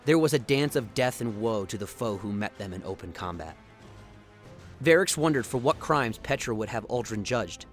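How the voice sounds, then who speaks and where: Male narrator, slightly low register, dramatic scripted storytelling over faint music. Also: expressive, moderate pace.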